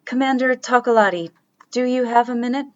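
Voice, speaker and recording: A woman playing a polite, literal-minded artificial crew member in a sci-fi radio drama, speaking in a formal, measured, matter-of-fact way. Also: fairly high pitch, lo-fi sound, natural voice. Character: polite, literal-minded reactivated artificial crewmate, puzzled by people.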